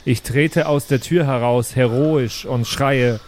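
Medium-pitched man role-playing, noisy backdrop. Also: slow. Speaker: tabletop role-play player. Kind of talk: casual improvised in-character chat. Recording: clear background noise, chirping night ambience.

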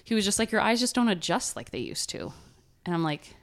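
A woman's voice: mid-range voice, big pitch swings, brisk. A woman with a nasal voice, co-hosting a podcast and telling a story in casual chat, laughing often. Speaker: podcast co-host.

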